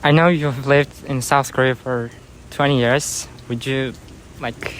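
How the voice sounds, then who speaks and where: A man's voice: mid-range voice, fairly even intonation, a little slow, a bit bright, slight background noise. A young man asking questions in a hesitant, informal way as a casual interviewer. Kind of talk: casual street-style interview.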